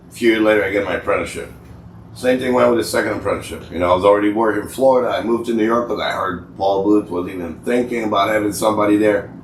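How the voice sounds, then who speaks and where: Echoey, low-voiced man telling tattoo stories. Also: very fast, distant mic. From Israel. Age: 40s. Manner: rambling, colloquial, swears freely, acts out dialogue. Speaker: tattoo artist, podcast guest. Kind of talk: casual podcast chat, telling career stories. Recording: roomy echo, off-mic, faint background noise.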